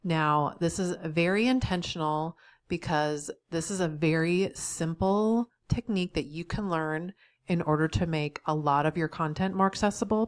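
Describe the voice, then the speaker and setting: A woman's voice: on the low side, dry recording, clean studio sound. A middle-aged woman with a head cold and a slightly nasal voice, teaching a tutorial and encouraging the viewer directly. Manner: encouraging, speaks straight to the viewer with frequent "right?". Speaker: accessibility trainer. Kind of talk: tutorial video.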